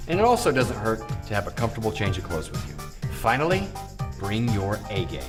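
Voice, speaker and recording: A man's voice: fairly low pitch, very animated, fairly quick, loud music behind the voice. A man presenting acting tips in scripted narration with casual asides.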